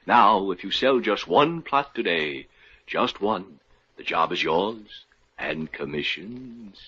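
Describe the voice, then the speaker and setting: An actor playing a solemn older undertaker who sells burial plots, in a 1950s radio comedy. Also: male voice, low voice, lively intonation, slow, pushed and bright, slightly rough, heavily acted. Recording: dull lo-fi old radio broadcast.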